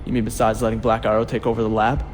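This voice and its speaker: An actor playing a nervous, cooperative research engineer in a video game, explaining things hesitantly and a little wryly. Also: male voice, on the low side, completely flat delivery, racing, a little hiss or hum, lightly acted. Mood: uneasy, explaining. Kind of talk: video game cutscene acting.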